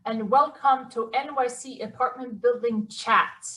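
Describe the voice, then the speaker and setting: A woman's voice: a little high, a little slow, sharp tone, recorded from a distance, rough recording. A woman from Sweden with a Swedish accent, hosting an interview with upbeat enthusiasm. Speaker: host. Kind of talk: interview chat.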